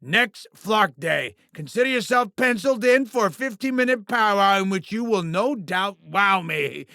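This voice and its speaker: An actor playing a sleazy, bloated alien TV producer in a video game, with smarmy, pompous, wheedling showbiz patter. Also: male voice, high voice, gravelly, bright, forceful tone, no echo, clean studio sound, exaggerated voice. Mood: slick, ingratiating. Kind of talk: theatrical video game character acting.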